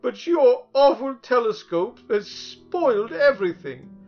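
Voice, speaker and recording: A man's voice: on the high side, sing-song, slow pace, lo-fi sound, soft background music, voice pushed up, exaggerated voice. A man voicing a small furry demon from a storybook, a boastful but whiny fake monster with a theatrical, exclamatory manner. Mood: sobbing, hysterical.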